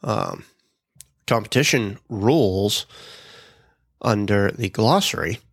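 Stuffy-nosed man with a low, dry voice. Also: slow, no room sound. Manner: relaxed, many ums and uhs, reads out minutes. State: stuffed up from a cold. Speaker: podcast host. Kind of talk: casual solo podcast talk.

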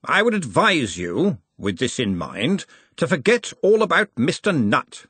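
A man voicing a blustering elderly male wizard who runs a university, with a booming, pompous manner. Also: wildly swooping pitch, a little slow, a bit bright, slightly rough, no room sound, heavily acted. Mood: probing.

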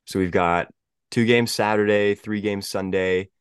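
A young man hosting a casual sports podcast, stuffy from a head cold. His voice sits very low. He talks in halting stretches, restarting often, and the sound is dry and close-miked. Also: fairly even intonation, clean tone, dry recording. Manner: halting, many restarts and fillers. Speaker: podcast host.

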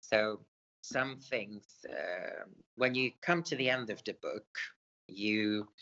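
Slow-talking Swedish-born man, hesitant. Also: fairly low pitch, pushed and bright, highs cut off. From Sweden. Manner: hesitant, many fillers and hedges. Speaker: author guest. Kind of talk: casual interview answers.

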